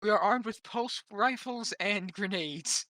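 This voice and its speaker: A tabletop player voicing a commanding armed android soldier, speaking loudly and firmly. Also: medium pitch, unhurried, dry recording, clean studio sound, voice pushed up. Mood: stern, commanding.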